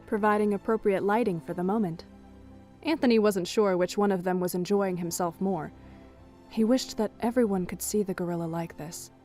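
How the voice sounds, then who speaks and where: A woman's voice: mid-range voice, mellow. A woman reading scripted third-person narration for an audio drama as its storyteller. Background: music.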